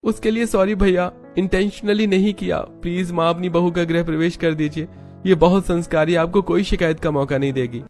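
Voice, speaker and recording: Man voicing a lovesick young suitor, pitched up. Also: fairly even intonation, moderate pace, darkish tone, soft background music. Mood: earnest, apologetic. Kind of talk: animated moral story character voice.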